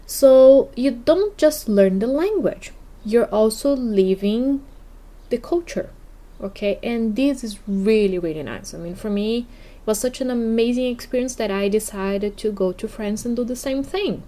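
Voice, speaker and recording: A woman's voice: fairly high pitch, animated, measured pace, mellow. A woman from Brazil, a native Portuguese speaker with a Brazilian accent, hosting a casual podcast and often checking in with "okay?". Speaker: podcast host.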